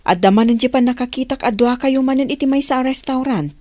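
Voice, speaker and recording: A woman's voice: high voice, a little fast, mellow, no room sound. A woman playing an opinionated middle-aged mother questioning her grown son in a radio drama. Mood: probing, disapproving.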